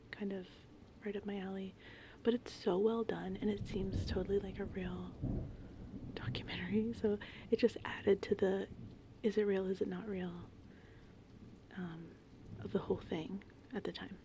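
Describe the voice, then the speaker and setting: A woman doing casual, meandering ASMR in a breathy, whispered and softly spoken voice. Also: mid-range voice, moderate pace, slight background noise. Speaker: ASMR host. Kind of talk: ASMR rambling movie summary. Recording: steady rain and thunder ambience behind. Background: gentle rain and thunderstorm sounds.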